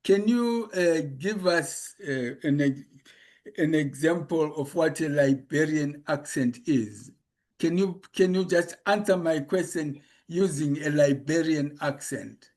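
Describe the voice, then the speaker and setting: A man from Zimbabwe leads an online panel over a video call. He speaks slowly and politely, thanking his guests and moving the discussion on. His voice sits a bit high for a man. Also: darkish tone. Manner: unhurried, polite, with thanks and fillers. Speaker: forum moderator. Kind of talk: interview moderation on a video call.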